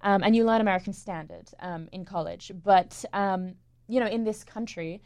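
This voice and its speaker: A woman's voice: medium pitch, normal speed, a little mellow, no echo. A young woman in her twenties, born in England and raised in Australia, with an English-Australian accent, talking casually as an interview guest with frequent ums and laughs. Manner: chatty, laughs often, many ums. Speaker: stage actress, guest.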